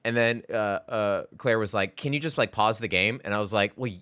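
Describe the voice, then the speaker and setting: Bright, lowish-voiced man in casual podcast chat. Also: brisk, clean tone, dry recording. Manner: informal, emphatic, frequent "like". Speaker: podcast co-host. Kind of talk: casual chat about video games.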